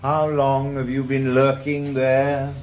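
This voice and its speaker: A man's voice: mid-range voice, completely flat delivery, slow, darkish tone, slight background noise, close to an everyday voice. An actor playing a weary retired policeman turned private eye in a radio drama, dry and laconic. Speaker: private investigator. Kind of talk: 1980s radio drama acting.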